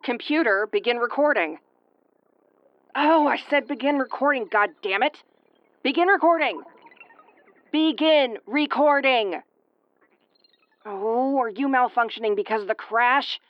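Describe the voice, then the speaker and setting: A woman's voice: fairly high pitch, unhurried, pauses often, no room sound, lo-fi sound, lightly acted. Woman acting a sardonic, battered starship officer, dry close sound. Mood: exasperated. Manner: exasperated, swearing, wry tomboyish delivery. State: woozy and battered. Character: gruff, sarcastic, injured woman starship first officer. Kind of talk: audio roleplay acting, recorded log monologue.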